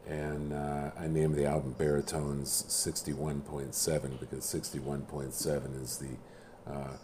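A man in casual conversation about music, speaking haltingly with many restarts and a self-deprecating manner. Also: rumbling low, flat delivery, darkish tone, a little hiss or hum. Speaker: musician guest. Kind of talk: casual chat.

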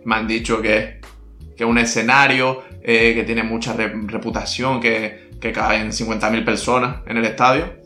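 A man's voice: fairly low pitch, level tone, moderate pace, bright, forceful tone. A man playing a brash, touchy male reggaeton singer, full of slang. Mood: boastful. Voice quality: slangy, keeps saying brother, prickly. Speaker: guest artist. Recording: roomy with faint music.

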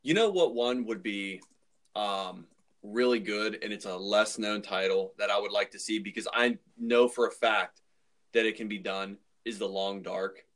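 Guy with a lowish voice hosting a podcast, rambly casual chat. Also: moderate pace, fairly bright tone. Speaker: podcast host.

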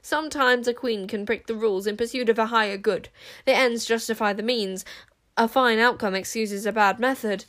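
A woman voicing a haughty, imperious warrior queen with a quick temper in an audiobook story. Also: a little high, steady tone, fairly bright tone, natural voice. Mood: contemptuous. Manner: contemptuous, imperious, snapping.

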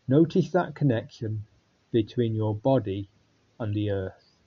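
A man guiding a body scan meditation in a calm, unhurried way with long pauses. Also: lowish voice, highs cut off. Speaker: meditation guide.

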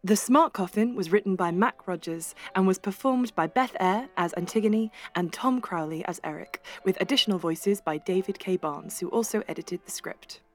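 A woman reads a scripted announcement. Her pitch is average, and music plays softly behind her. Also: normal speed, hardly any pauses. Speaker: credits announcer. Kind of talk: scripted narration.

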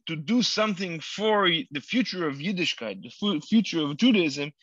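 A man's voice: a little high, animated, normal speed, fairly bright tone, dry recording. A man whose first language is Hebrew, a rabbi answering interview questions in English with many fillers and restarts. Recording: dull lo-fi dry sound.

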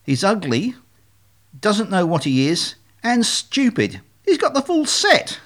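Man acting a sardonic swan, storybook sidekick, mocking, muttered, animated pitch. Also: slow pace, heavily acted. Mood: scornful.

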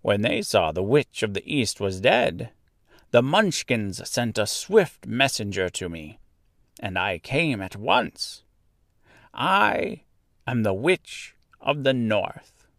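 Man voicing a slow, lilting little old good witch. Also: medium pitch, big pitch swings, vocal fry, fairly bright tone, dry recording, studio quality, pitched above the performer's own voice, exaggerated voice. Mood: proud, kindly. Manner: deliberate, stretched-out, explanatory phrasing. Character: kindly little old woman, a good witch, calm and assured. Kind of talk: storybook character voice.

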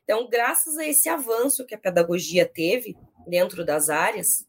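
A Brazilian woman in her thirties with a rural São Paulo accent and drawn-out r, talking casually as an interview guest. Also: mid-range voice, lively intonation, breathy edge. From São Paulo state, Brazil. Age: 30s. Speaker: interview guest, education professional.

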